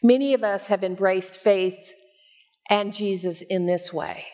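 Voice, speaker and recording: An older woman in her sixties delivers a sermon from a church pulpit. She takes her time, speaking slowly at a medium pitch. Her delivery is measured and expressive, like a pastor telling a personal story to make her point. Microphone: headset.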